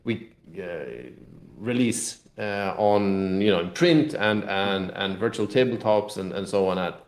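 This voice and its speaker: A man speaking as a game publisher in a casual interview, explaining things haltingly and often repeating words. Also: low voice, animated. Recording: echoey room.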